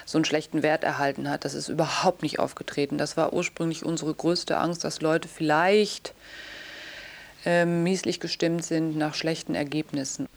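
A woman's voice: deep voice, fairly even intonation, normal speed, creaky. A woman giving a spontaneous answer in an interview.

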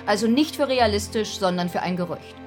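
An actress playing a serious female news anchor, putting measured, probing questions in a formal radio-play interview. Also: medium pitch, expressive, music in the background, lightly acted.

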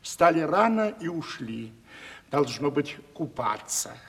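An actor playing a fussy, deferential manservant of a country house in a radio play, with obsequious, hesitant theatrical speech. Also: male voice, a little high, very animated, slow, a bit bright, heavily acted. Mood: respectful, hesitant. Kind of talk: theatrical 1970s Russian radio play acting. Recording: reverberant archival radio drama recording.

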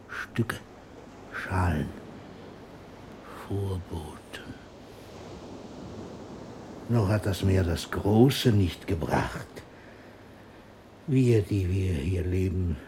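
An older man acting in a radio play as an ailing old poet, grave and lyrical, declaiming and murmuring in halting phrases. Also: deep voice, warm tone, slight background noise.

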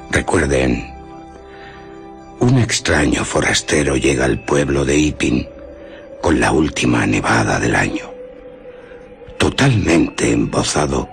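A man playing a famous male novelist telling his tale in a radio drama, gravelly and deliberate, with teasing dramatic pauses. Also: a bass voice, a bit bright, heavily acted. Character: H. G. Wells as a slow, theatrical male novelist storyteller.

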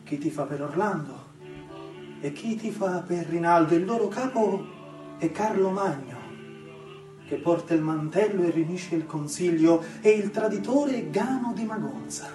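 A man's voice: high voice, expressive. A man playing an earnest, sentimental theatre devotee with a Sicilian accent, telling his tale in an emphatic, enraptured way. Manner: emphatic, drawn-out, enraptured storytelling. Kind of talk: theatrical storytelling monologue on radio. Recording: roomy distant recording with music behind.

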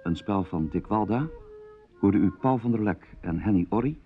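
Low-voiced male radio announcer, dull recording. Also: moderate pace. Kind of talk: scripted closing credits announcement. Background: music.

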